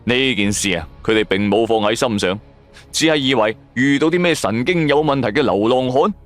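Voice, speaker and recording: A man giving suspenseful, expressive narration of a scripted horror drama. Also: medium pitch, normal speed, soft background music. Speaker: storyteller narrator.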